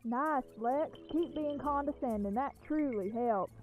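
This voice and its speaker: A woman's voice: sits high, unhurried, a little mellow, soft background music. A woman playing a testy giantess supervillain in a comic radio play, sarcastic and given to complaining. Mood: irritated.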